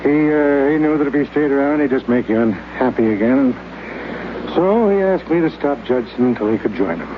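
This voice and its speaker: A man's voice: medium pitch, expressive, fairly quick, background noise, lightly acted. An actor playing a world-weary old fat man who narrates his own story in a 1950s radio drama, hard-boiled and wry. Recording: muffled old radio broadcast with music.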